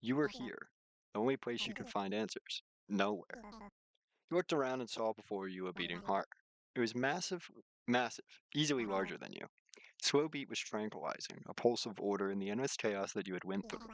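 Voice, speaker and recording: Low-voiced man reading a story aloud. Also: lively intonation, fairly quick, dry recording. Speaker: streamer reading a visual novel aloud. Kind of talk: narrated read-aloud with casual live commentary.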